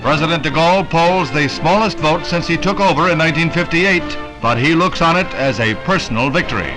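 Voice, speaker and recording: Male newsreel narrator, 1960s style, brisk and emphatic, fairly high voice, old soundtrack with loud music underneath. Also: rough recording. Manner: brisk, emphatic announcer delivery. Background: loud music score.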